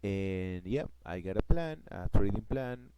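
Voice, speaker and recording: Man, Peruvian Spanish accent, native Spanish speaker, low voice, halting, searching for words, casual vlog, heavy background noise. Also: lively intonation. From Peru. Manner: halting, searching for words, many fillers and restarts. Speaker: forex trader vlogger.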